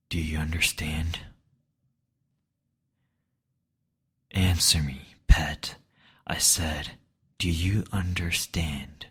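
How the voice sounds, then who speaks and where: Man acting a low, breathy, commanding demon lord. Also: fairly bright tone, exaggerated voice. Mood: cold, scolding. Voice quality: breathy, husky. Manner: slow, deliberate, domineering. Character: stern, possessive male demon lord, cold and commanding. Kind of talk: intimate scripted roleplay audio.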